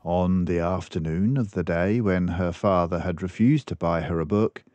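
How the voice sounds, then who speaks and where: An older man reading a children's book aloud in a deliberate, expressive storytelling manner. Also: deep voice, no room sound, dull audio. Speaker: storybook reader.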